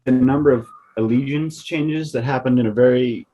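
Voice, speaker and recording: A man on a podcast panel, speaking in a measured, analytical way with some hesitant fillers. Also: mid-range voice, warm tone, dull audio, soft background music. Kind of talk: casual discussion.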